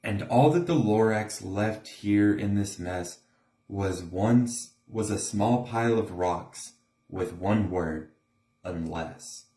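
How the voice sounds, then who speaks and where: A man's voice: on the low side, slow, dark tone. A man reading a rhyming storybook aloud in a theatrical, emphatic way. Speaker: storybook reader. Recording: echoey room.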